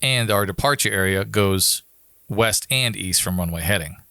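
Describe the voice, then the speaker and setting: A man's voice: fairly low pitch, normal speed, bright, forceful tone, no room sound, clean studio sound. An American man talking casually and informally, explaining how his work as an air traffic controller goes, like a guest on a podcast.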